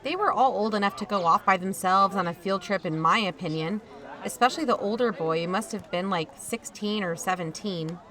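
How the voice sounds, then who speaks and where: A woman's voice: mid-range voice, a little fast, fairly bright tone, natural voice. A woman playing a casual, wry young aunt who tells her own story in an audio drama. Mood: reflective, wry. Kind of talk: first-person audio drama narration and dialogue.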